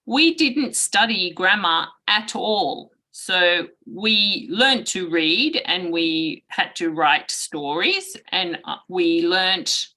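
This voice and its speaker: Unhurried, bright-voiced woman lecturing. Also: lowish voice, rough recording. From Australia. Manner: slow, measured, clears throat often. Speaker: guest lecturer. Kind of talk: online guest lecture.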